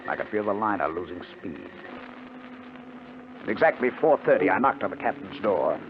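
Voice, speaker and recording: An actor playing a grim criminal who narrates his own crime in measured 1950s radio suspense style. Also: sits low, big pitch swings. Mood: tense, measured. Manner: measured, deliberate. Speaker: first-person narrator. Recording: noisy, muffled 1950 radio recording.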